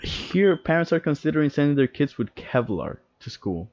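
A young man from the Dominican Republic, co-hosting a podcast in casual chat, excitable and rambling, cursing freely. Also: a little high, normal speed, dry recording, lo-fi sound. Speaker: podcast co-host.

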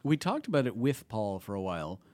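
A man's voice: on the low side, big pitch swings, brisk, darkish tone. A Canadian man, a television producer for a comedy festival, talking casually on a podcast and often trailing off mid-thought.

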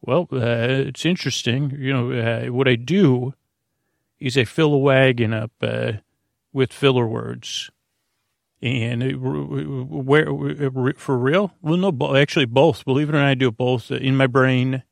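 An American man with a creaky voice, hosting a bedtime podcast in a lulling, rambling, hesitant way, full of filler words and tangents. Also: mid-range voice, fairly even intonation, unhurried, no echo, studio quality. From United States. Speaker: podcast host.

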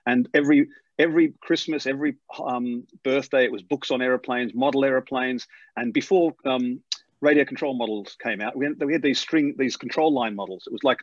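Fast-talking Australian man with slight English tinge. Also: medium pitch, no room sound. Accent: Australian, softened by years in England. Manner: animated storyteller, quick with many restarts. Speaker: airline pilot guest. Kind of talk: storytelling interview. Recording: slightly dull lo-fi sound.

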